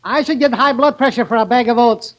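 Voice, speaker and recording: An actor playing a stubborn, cocky horse with a wisecracking comic voice in a 1930s radio comedy. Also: male voice, very high-pitched, a little fast. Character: stubborn, cocky Arabian horse with mule blood. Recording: very noisy, muffled 1939 radio broadcast.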